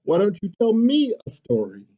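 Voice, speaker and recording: A woman voicing a patient, weary father chicken who gently scolds his child in a storybook read-aloud. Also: medium pitch, huge pitch swings, dull audio, voice pushed up. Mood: tired, patient. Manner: slow, drawn-out, gently admonishing.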